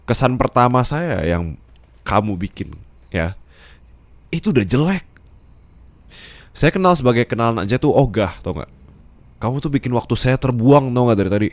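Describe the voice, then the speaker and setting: A man's voice: medium pitch, lively intonation, moderate pace, long pauses, no room sound, lightly acted. A man playing a curt, haughty, exasperated young boss in an acted audio roleplay, speaking brusquely and dismissively. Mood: annoyed, scornful. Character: curt, haughty young male boss, reluctant blind-dater. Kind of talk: Indonesian ASMR boyfriend roleplay, acted dialogue. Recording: clear vehicle ambience noise behind voice. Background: car ambience sound effects.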